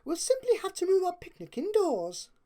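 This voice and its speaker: A woman's voice: very high-pitched, huge pitch swings, moderate pace, dark tone, pitched above the performer's own voice, exaggerated voice. A woman voicing a prim, dramatic and refined lady pony in a story reading, with a fussy, declarative manner. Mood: determined.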